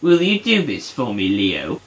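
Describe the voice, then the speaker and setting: A man's voice: mid-range voice, wildly swooping pitch, measured pace. A man voicing a pompous, petulant emperor puppet, haughty and plummy, in a comic puppet show. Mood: imperious, scheming.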